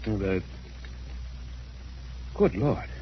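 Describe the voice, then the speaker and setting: A man's voice: lowish voice, big pitch swings, extremely slow, exaggerated voice. A man playing a wealthy, brooding husband in an earnest, melodramatic 1940s radio style. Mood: stunned. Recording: muffled, hissy old radio recording.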